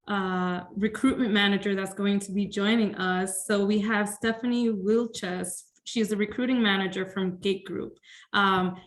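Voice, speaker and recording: A woman hosting an online career workshop, upbeat and thankful, with frequent fillers as she introduces speakers. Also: medium pitch. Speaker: webinar host. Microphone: video call.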